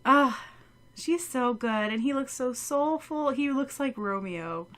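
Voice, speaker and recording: High-voiced, slow, gushing woman co-host. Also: fairly bright tone. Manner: gushing, enthusiastic, laughs a lot. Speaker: podcast co-host. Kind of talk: casual chat.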